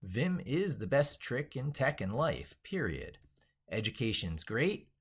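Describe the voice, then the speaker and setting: A man's voice: mid-range voice, sing-song, a little slow, a bit bright, breathy edge. A man hosting a casual tech livestream, rambling and thinking aloud as he works through things on screen and reads text out. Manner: rambling, thinking aloud while working at computer. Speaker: livestream host, tech educator.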